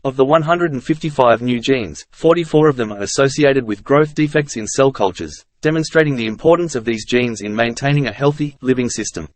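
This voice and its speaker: Mid-pitched man reading practice text, dry. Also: fairly quick, few pauses, dry recording. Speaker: reader of practice passages. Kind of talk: scripted read-aloud of exam practice text.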